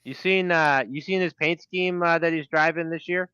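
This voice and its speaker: Bright, halting male podcast co-host. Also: on the high side, fairly even intonation, clear, resonant tone, no echo. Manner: halting, many fillers, laughs often. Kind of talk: casual sports chat. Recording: dull, slightly lo-fi sound.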